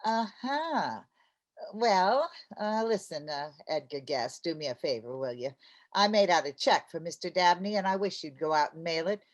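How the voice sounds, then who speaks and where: A woman playing an excitable, chatty, scheming housewife in an old-time radio comedy, with a theatrical manner. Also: on the low side, natural voice. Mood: indignant, dry. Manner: theatrical, quick to jump to conclusions.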